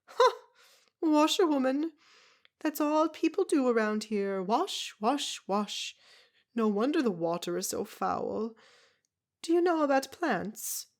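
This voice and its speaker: A woman's voice: high voice, sing-song, takes it slowly, voice pushed up, full character voice. A woman voicing a tiny, brusque foreign herbalist woman who speaks in clipped broken English with a foreign accent. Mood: gruff, pushy. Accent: foreign, unplaced.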